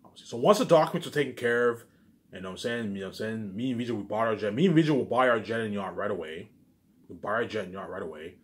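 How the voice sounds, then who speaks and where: Fast-talking man, low voice, rambling and boastful. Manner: repetitive, keeps restating phrases and tagging sentences with catchphrases; boastful, self-aggrandizing. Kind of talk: casual rambling monologue to camera.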